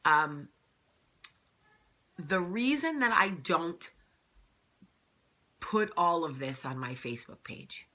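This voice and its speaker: A woman's voice: low-pitched, pushed and bright. A woman hosting her own vlog, talking straight to the camera in a casual, earnest way, stressing her points and often saying "um". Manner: earnest, emphatic, repeats phrases, many "um"s. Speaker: vlog host. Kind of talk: casual direct-to-camera talk.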